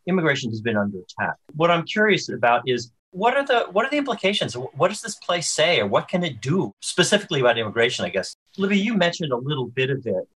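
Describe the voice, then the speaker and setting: A man's voice: fairly high pitch, sing-song, quick pace, hardly any pauses, fairly bright tone, slightly rough. A man moderating a panel on a video call, putting questions informally and without a script.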